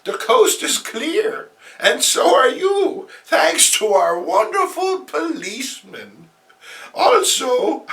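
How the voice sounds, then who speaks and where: Sweet, fervent old aunt played by a man, shrill strained falsetto, swooping theatrically, comic-book reading. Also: pushed and bright, distant mic, full character voice. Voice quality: strained, put-on elderly falsetto. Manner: theatrical, exclamatory.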